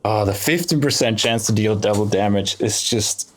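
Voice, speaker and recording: A man giving casual gameplay commentary, thinking aloud in short, musing phrases with pauses between them. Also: on the low side. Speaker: let's play host. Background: game music.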